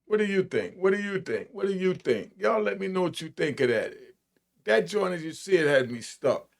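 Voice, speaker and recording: A man's voice: high-pitched, sing-song, normal speed, vocal fry, soft background music. A middle-aged American man giving casual reaction commentary in a slangy, informal manner, often repeating himself.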